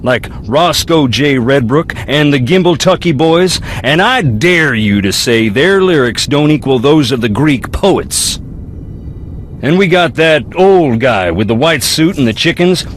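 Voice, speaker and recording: An actor playing a brooding, philosophical young ghost hunter in a video game, with a Southern US accent and a wry, folksy drawl. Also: male voice, on the high side, animated, a little slow, slight background noise. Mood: wry, proud. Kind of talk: video game character dialogue.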